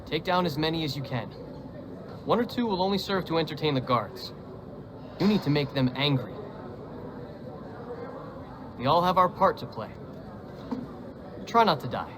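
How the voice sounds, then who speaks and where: Man acting wry, scheming prisoner, highish voice, noisy surroundings. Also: lively intonation, normal speed, long pauses, close to an everyday voice. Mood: urging. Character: scheming, wry male prisoner, a forger plotting escape. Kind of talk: dramatic game cutscene acting. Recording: clear background noise and ambience.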